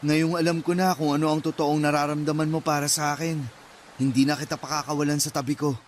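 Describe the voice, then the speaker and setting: Actor as a very high-pitched, protective young man. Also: flat delivery, fast, close to an everyday voice. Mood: tender, protective. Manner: reassuring, earnest. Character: gentle, protective, self-doubting young man trapped by flood. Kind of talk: Filipino radio drama acting.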